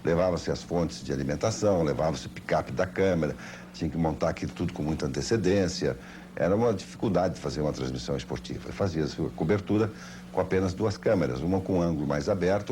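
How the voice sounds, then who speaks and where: An older Brazilian man with a very deep voice reminisces like a seasoned sports broadcaster. He talks quickly and tells his anecdotes with emphasis. The sound is an old television tape with clear background noise. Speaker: veteran sports broadcaster giving testimony. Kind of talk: anecdotal interview recollection.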